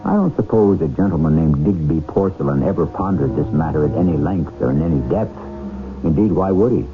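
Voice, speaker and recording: A man's voice: rumbling low, a little fast. A man hosting and narrating a 1970s radio drama in a wry, theatrical, scripted style. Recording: tinny 1970s radio broadcast, music underneath. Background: music.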